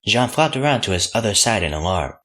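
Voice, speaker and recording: A man reads scripted fan fiction as an audiobook narrator. His pitch sits low, and it rises and falls in a lively way from phrase to phrase. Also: fairly quick.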